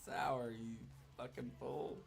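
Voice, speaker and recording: A man in his thirties with a hoarse voice, chatting casually and profanely with his livestream audience. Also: medium pitch, fairly even intonation, takes it slowly. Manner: rambling, profane, joking with chat.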